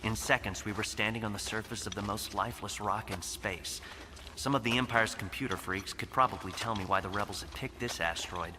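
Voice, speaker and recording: Guy playing young space cadet officer, kinda low voice, nervous but determined, radio drama, really noisy background. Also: in a large hall, close to an everyday voice. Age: young adult. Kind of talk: audio drama acting and narration.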